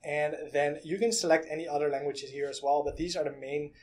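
A man in his thirties talks through a software demo in plain, steady steps, pausing often on "uh" and "um". His voice sits a touch high for a man. He records in a small room on a desk webcam. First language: Dutch. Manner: explanatory, step-by-step, with frequent "uh" and "um". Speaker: product reviewer. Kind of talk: screen-share software walkthrough.

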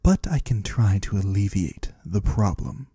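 ASMR roleplay casting: man as soothing, mysterious male trader from the void, very dark husky tone, formal and courteous. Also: on the low side, animated, unhurried, dry recording, clean studio sound. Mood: gentle concern. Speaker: ASMR performer. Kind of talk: ASMR roleplay, soft-spoken.

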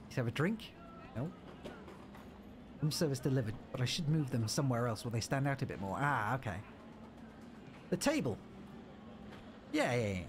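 A man's voice: mid-range voice, very animated, moderate pace, long pauses, background noise. A man streaming a video game, joking and reading the on-screen text aloud as he plays. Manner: playful, jokey, reads game text aloud. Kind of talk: let's-play commentary.

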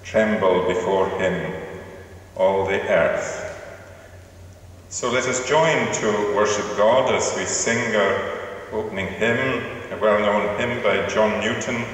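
A man from Scotland, a minister giving a formal welcome and reading scripture in a slow, measured manner in a large stone church. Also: on the low side, a bit bright. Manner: slow measured liturgical delivery. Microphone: far camera in church. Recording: echoey church from far off.

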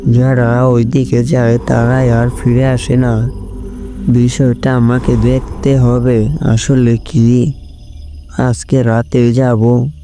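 Man as a slow, earnest village headman. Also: mid-range voice, monotone. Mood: worried, determined. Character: worried, determined male village headman. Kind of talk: animated horror story acting. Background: loud music.

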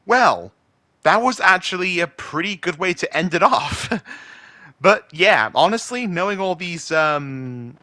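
A man's voice: high voice, lively intonation, measured pace, sharp tone, no room sound, studio quality. A man chatting casually to his followers as a hobby voice actor. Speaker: voice impressionist.

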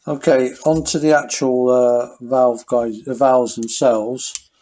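A man's voice: medium pitch, slow. Male mechanic, mid-range voice, casual workshop commentary with frequent restarts. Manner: thinking aloud, relaxed, with many fillers and restarts. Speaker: motorcycle mechanic.

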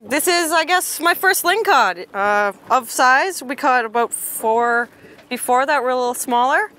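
A young woman chatting casually on a boat at sea, talkative and quick to laugh. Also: high-pitched, expressive, sharp tone, a little hiss or hum. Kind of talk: casual chat while fishing. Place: outdoors on an open boat at sea.

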